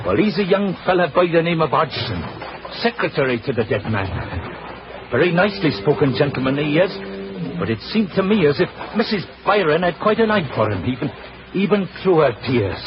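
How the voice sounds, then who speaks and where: An actor playing a deferential, chatty police sergeant who hesitates and repeats himself, in 1940s radio drama style. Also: male voice, on the high side, sing-song, brisk. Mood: earnest, gossipy. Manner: hesitant, folksy, stammering repeats. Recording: muffled, noisy 1940s radio recording.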